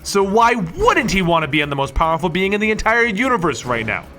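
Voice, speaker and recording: Animated, high-pitched male story narrator. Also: a little fast, faint music behind. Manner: dramatic, emphatic delivery with rhetorical questions. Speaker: comic book story narrator.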